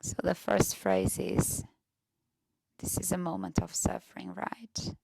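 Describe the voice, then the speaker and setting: Female meditation guide: lowish, very gravelly fry, gentle and hushed delivery, recorded close and dry. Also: very animated, slow, no echo, poor audio.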